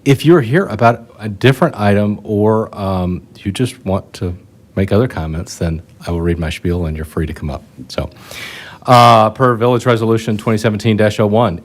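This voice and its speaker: A man chairing a village board meeting, speaking in a relaxed, plain way and reading procedural rules aloud. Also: fairly low pitch.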